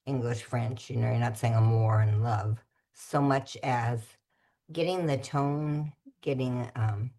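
A very deep, husky-voiced woman hosts a community radio show. She talks in a relaxed, conversational way and sometimes pauses to find her words. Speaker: radio host.

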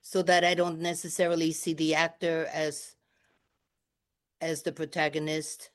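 An older woman whose first language is French speaks slowly and deliberately. Her voice sits slightly low, and she answers interview questions in a gentle, measured way. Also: little pitch movement. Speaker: guest, memoirist and poet. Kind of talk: radio interview.

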